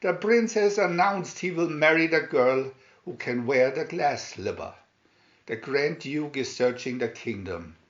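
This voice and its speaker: A man's voice: fairly high pitch, expressive, unhurried, echoey room, dull audio. A man voicing a cold, scornful older stepmother, haughty and dismissive, in a storybook fairy tale. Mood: scheming.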